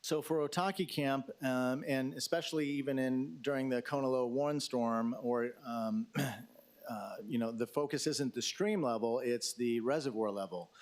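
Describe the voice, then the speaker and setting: A man's voice: medium pitch, unhurried, darkish tone. Hesitant man briefing a council. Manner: hesitant, many fillers and restarts; addresses questioner as sir. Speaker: emergency management official. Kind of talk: answering questions at a council briefing.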